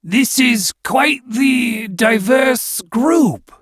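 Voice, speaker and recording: A man voicing a grinning male naga bully with a hissing, slow, drawn-out delivery. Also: very high voice, fairly bright tone, husky, no echo, pitched above the performer's own voice, heavily acted. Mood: haughty.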